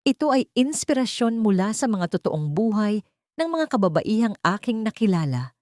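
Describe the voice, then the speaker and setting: A woman narrating a scripted dramatic story in an earnest, sentimental, measured way. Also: medium pitch, big pitch swings, a little mellow, no echo, clean studio sound.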